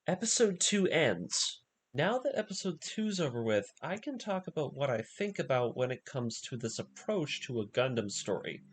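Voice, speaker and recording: A man giving scripted narration in the manner of a video essay, telling a story dramatically with emphatic pauses. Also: mid-range voice.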